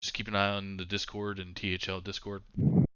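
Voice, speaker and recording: A man's voice: low voice, a little fast, smooth, no echo, muffled recording. A man hosting a card game stream, chatting casually and reading out upcoming matches with plenty of fillers. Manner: relaxed, rambling with fillers. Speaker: esports stream host. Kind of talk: casual chat and announcements.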